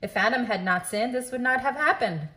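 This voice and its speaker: A woman's voice: on the high side, animated, a little fast, pushed and bright. A woman reading a children's picture book aloud in an instructive way, putting questions to young listeners. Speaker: read-aloud storyteller.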